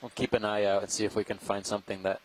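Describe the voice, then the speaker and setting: A man giving live scientific commentary on a deep-sea dive. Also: level tone, rapid-fire, low-quality recording. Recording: faint background noise.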